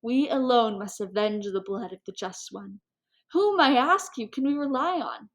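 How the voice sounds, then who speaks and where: A woman voicing an impulsive society hostess of about forty, animated and theatrical, in a storybook reading. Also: a little high, normal speed. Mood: impassioned. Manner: animated, impetuous, declamatory.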